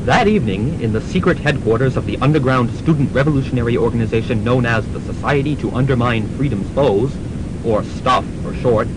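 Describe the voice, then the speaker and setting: A man's voice: a little fast, close to an everyday voice. A man playing the mock-dramatic narrator of a student radio serial. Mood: scene-setting. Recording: noisy, rumbling amateur radio recording.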